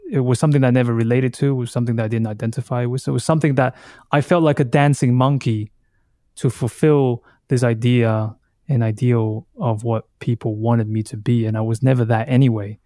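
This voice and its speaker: A man in his thirties from Taiwan, whose first language is Taiwanese, speaks as a guest in a reflective conversation. His mid-pitched voice is recorded dry, with very little room sound. He thinks as he goes, halting and reworking his phrases. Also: steady tone, mellow, no room sound. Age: 30s. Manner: halting, thoughtful, circles back to rephrase. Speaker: podcast guest, artist.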